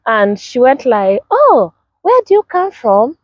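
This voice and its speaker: A young woman with a Kenyan accent talks straight to the camera, sharing her own experiences in a casual, lively way and playing out the greetings with sing-song bounce. The recording is dry, with a slightly dull, muffled top end. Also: high-pitched, takes it slowly, dry recording. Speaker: vlogger. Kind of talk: casual personal storytelling to camera.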